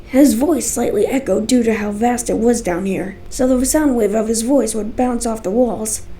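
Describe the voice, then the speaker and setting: A woman's voice: a little high, normal speed, warm tone, recorded from a distance. A woman narrating a scripted first-person story as its storyteller. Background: music.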